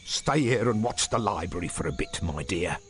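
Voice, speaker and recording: An actor playing a spiteful, creepy old English caretaker in a video game, with a gravelly voice, muttering and shrieking. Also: male voice, low-pitched, lively intonation, normal speed, very noisy. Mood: sneaky muttering. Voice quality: gravelly, creaky. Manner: muttering to his cat, breaking into shrieks. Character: spiteful, creepy old caretaker hunting intruders, doting on his cat. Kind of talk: video game villain acting. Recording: loud music behind the voice.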